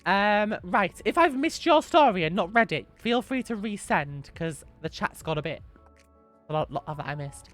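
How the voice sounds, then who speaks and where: A young woman, a little hoarse from being sick, gently answering chat questions on a live stream and repeating her reassuring advice. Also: medium pitch, animated, moderate pace, soft background music.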